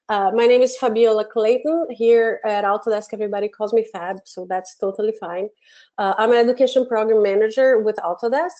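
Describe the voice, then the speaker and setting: A middle-aged woman with a Brazilian Portuguese accent leads an online software class from her home office. Her voice sits fairly high and comes through dull, lo-fi webinar audio. She talks in a friendly way and often pauses and restarts. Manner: friendly, many fillers and restarts. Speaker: webinar host, education program manager. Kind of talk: live software webinar instruction.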